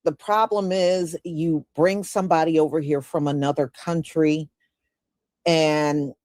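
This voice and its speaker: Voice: medium pitch, a little mellow, no room sound. A show host giving casual commentary and telling folksy stories with conversational asides.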